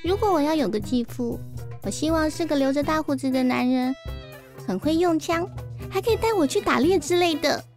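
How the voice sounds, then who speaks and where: A woman's voice: sits high, animated, a little fast, darkish tone, soft background music. A woman voicing a bold, cheeky young daughter in a radio drama, blunt and childlike, with a Taiwanese accent. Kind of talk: Mandarin radio drama acting.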